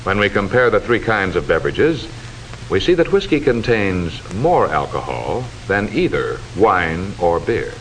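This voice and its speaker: A man delivering formal, measured, instructive scripted narration in the style of a 1940s educational film, on a hissy old soundtrack. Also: low voice, big pitch swings, low-quality recording.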